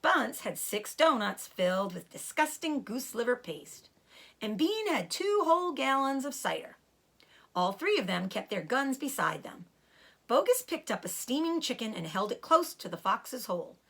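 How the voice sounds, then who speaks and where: A woman teacher reading a children's storybook aloud in an expressive way. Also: on the high side, moderate pace.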